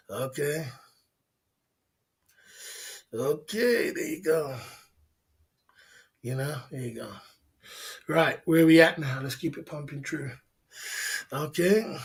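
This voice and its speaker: A man's voice: on the high side, vocal fry. A man, casually hosting a livestream, rambling and laughing often as he answers viewers. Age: 50s. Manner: rambling, laughs often, repeats filler phrases. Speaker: livestream host. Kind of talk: casual livestream chat reading viewer comments.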